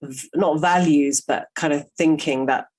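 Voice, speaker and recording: A woman's voice: low voice, fairly even intonation, measured pace, a little mellow, husky. A woman hosting a podcast interview, talking in a thoughtful, searching way with frequent fillers. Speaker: podcast host. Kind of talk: conversational interview.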